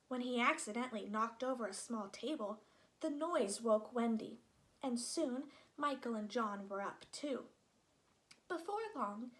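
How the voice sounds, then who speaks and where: High, lively woman reading a storybook. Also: rough recording. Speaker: storybook reader. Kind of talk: expressive read-aloud children's narration.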